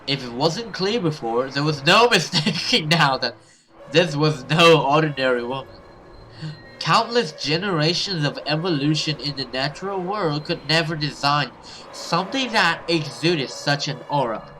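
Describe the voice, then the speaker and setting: A man doing expressive let's play commentary and dramatic read-aloud of a horror visual novel as a gaming host. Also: on the high side, moderate pace, a little hiss or hum, rough recording. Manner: expressive, reactive, sweary.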